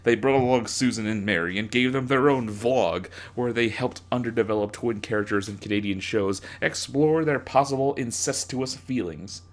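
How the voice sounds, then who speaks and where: A man's voice: medium pitch, normal speed, hardly any pauses. A young adult man doing a comedic dramatic reading as narrator, sarcastic and crude, with theatrical emphasis. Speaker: narrator reading own fanfiction.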